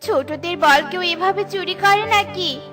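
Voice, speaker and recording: A woman voicing a gentle, forgiving mother bird in a children's cartoon, comforting in manner. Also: extremely high pitch, unhurried, over music. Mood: sympathetic.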